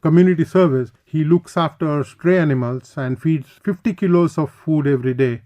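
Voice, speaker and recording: A man's voice: a little high, moderate pace, mellow. A man hosting a moderated radio panel discussion.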